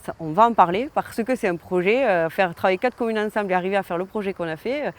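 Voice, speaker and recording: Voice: medium pitch, fast talker. A mayor answering interview questions in a conversational way. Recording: outdoor noise with traffic.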